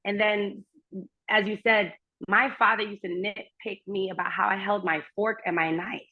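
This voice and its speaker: A woman whose first language is German chats as a podcast guest. She speaks in long, earnest, personal runs at a middling pitch. The remote audio is muffled and lo-fi. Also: steady tone, normal speed, breathy edge. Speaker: guest astrologer. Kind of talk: casual conversation. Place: remote, while traveling.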